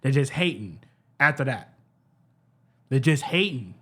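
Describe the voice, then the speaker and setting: A man with a high-pitched voice giving heated, profane reaction commentary, repeating himself and stumbling over his words. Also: very animated, measured pace, pushed and bright, slightly rough. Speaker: reaction streamer.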